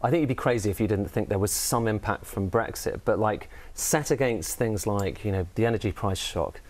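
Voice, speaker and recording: A man's voice: lowish voice, a little fast. A man commenting on economics in a panel debate, thinking aloud and hedging with frequent qualifiers. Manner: thoughtful, hedging, many "kind of" and "I mean".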